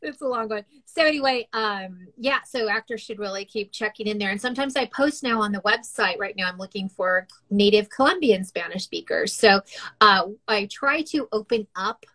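A woman's voice: medium pitch, animated, moderate pace, sharp tone. Chatty middle-aged casting director, woman, easygoing and upbeat, lots of fillers and laughs. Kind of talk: casual interview chat.

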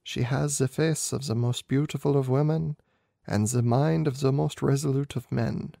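A man's voice: medium pitch, steady tone, normal speed, gravelly, mellow, no room sound, studio quality, natural voice. A man voicing an anxious, proud young king in a storybook reading, solemn and emphatic. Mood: grave. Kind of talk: amateur audiobook character reading.

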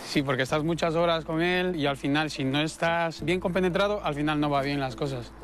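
A man's voice: on the high side, fairly quick, a crowd in the background. A man in his thirties from Ecuador, a soldier answering interview questions. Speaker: soldier, tank driver. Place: outdoors at a military base beside tanks. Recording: loud outdoor vehicle and ambience noise.

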